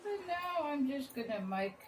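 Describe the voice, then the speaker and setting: A woman's voice: sits high, sing-song, a little slow. A woman with an Afrikaans accent, chatting with viewers as she teaches a painting lesson and calling out cheerful exclamations as she works. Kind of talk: casual step-by-step painting lesson. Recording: echoey room with faint background noise.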